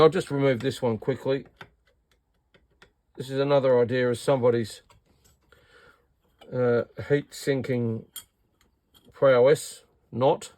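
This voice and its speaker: A man's voice: mid-range voice, darkish tone, dry recording. An Australian man giving a casual, hands-on radio repair demonstration, talking informally with an incredulous, exasperated manner. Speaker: radio repair vlogger and seller.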